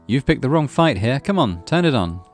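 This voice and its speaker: Man playing a lively, coaxing older brother. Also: medium pitch, fairly quick, faint music behind, voice pushed up, natural voice. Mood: playful challenge. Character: caring older brother, a boy, gentle and coaxing. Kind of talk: visual novel character read-aloud.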